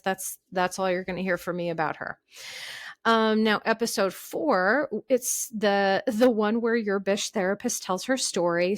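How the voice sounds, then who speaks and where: A woman's voice: medium pitch, no echo, studio quality. A woman hosting her own podcast, talking casually and candidly, with lots of fillers and restarts. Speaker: podcast host, therapist. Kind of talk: casual solo podcast talk.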